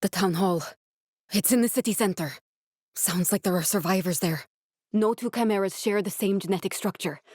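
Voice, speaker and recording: A woman voicing an earnest, guarded young female scientist survivor in a video game, speaking in a measured, serious way. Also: mid-range voice, darkish tone, no echo, studio quality, close to an everyday voice. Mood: earnest, explanatory. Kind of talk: dramatic video game dialogue.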